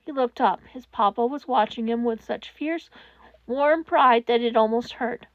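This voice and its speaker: A woman reading a fan fiction story aloud in expressive scripted narration. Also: a little high, measured pace, no room sound, muffled recording.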